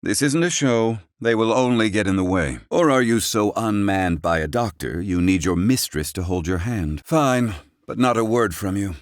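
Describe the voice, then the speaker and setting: Give this one to a man playing a proud, curmudgeonly older scholar in a fantasy game. His voice sits low and moves with theatrical, lively inflection. He speaks in curt, haughty, old-fashioned phrases, captured close and very dry. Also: normal speed, hardly any pauses, no room sound, close to an everyday voice. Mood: curt, grave. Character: gruff, proud, curmudgeonly older male doctor-inventor in fantasy. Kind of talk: theatrical video game voice acting.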